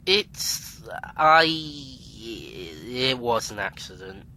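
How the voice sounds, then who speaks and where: A man playing a timid young man who is the son of a commodore, speaking hesitantly and softly, then eagerly. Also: mid-range voice, sing-song, extremely slow, exaggerated voice. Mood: apologetic. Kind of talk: fan audio drama acting.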